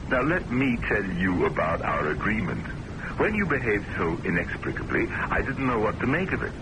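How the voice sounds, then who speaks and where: A man's voice: very animated, normal speed. An American man acting a cultured, condescending, evasive professor in a radio mystery drama, with a wry, formal manner. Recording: noisy old radio broadcast with rumble.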